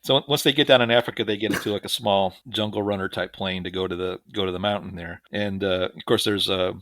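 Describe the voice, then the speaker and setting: Podcast co-host, male, low voice, sarcastic joker. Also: steady tone, fast talker, a bit bright, dry recording. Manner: jokey, sarcastic, chuckling often. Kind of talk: casual movie recap chat.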